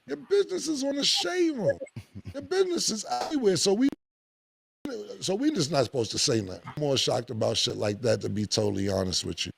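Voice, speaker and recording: A man, hosting a podcast with blunt, animated opinions and a lot of swearing. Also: fairly quick, gravelly, breathy edge. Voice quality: deep voice. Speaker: podcast host. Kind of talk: casual opinionated podcast talk.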